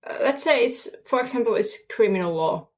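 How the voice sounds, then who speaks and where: A woman's voice: on the high side, animated, a little fast. A young woman from Finland speaking English with a Finnish accent as a podcast guest, searching for words with many fillers. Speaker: guest student. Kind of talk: podcast interview.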